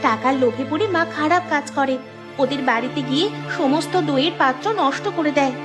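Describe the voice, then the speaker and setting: A woman's voice: sits high, brisk, close to an everyday voice. A woman playing an earnest young villager in a cartoon, confiding and regretful. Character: young villager, earnest and guilty, confiding in an elder brother figure. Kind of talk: cartoon character dialogue. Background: clear music.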